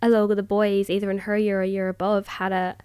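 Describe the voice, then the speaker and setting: A woman's voice: mid-range voice, quick pace, clean tone. A nineteen-year-old Irish woman telling personal stories casually in a group discussion, chatty and peppered with "like", often quoting herself. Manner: chatty, animated filler "like", quotes herself. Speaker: roundtable participant.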